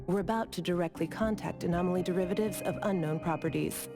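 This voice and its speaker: A woman playing a composed bureau officer in a video game, speaking in a formal, measured, explanatory way. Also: lowish voice, lightly acted. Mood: businesslike. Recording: clear music behind the voice.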